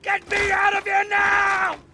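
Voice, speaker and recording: An actor playing a spoiled, tyrannical young Roman general, imperious and abusive, in a video game cutscene. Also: male voice, completely flat delivery, slow, shouting, very noisy. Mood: furious, commanding. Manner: imperious, shrill, abusive barking.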